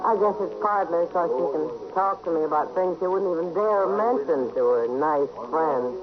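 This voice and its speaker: A woman's voice: on the low side, moderate pace, natural voice. A woman playing a frank, worldly American frontier woman, knowing and guarded, in a 1950s radio western. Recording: muffled old radio recording, music behind.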